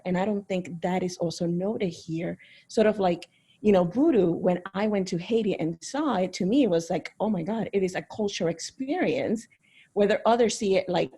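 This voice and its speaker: A woman sharing reflective, personal remarks in a panel discussion over a video call. Her voice is mellow and dark, with a husky edge. She talks in an earnest, thoughtful way. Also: lowish voice. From Caribbean, raised in Miami. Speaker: panel participant.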